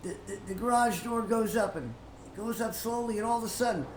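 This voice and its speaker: A woman's voice: a little high, darkish tone. Roomy, distant recording of a folksy woman preacher. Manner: folksy, halting, repeats words and pauses often. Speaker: preacher telling a story. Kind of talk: informal Bible teaching with storytelling. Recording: roomy, distant mic with faint noise.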